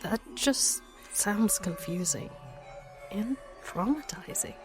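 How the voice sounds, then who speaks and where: A timid, uneasy youth, voiced by a woman in an audio drama. She speaks slowly and hesitantly with a worried edge, while music plays clearly behind her. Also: mid-range voice, animated. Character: nervous, timid young person.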